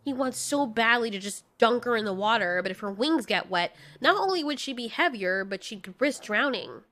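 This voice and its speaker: A woman narrating a scripted story in an expressive, storytelling style. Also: on the high side, normal speed.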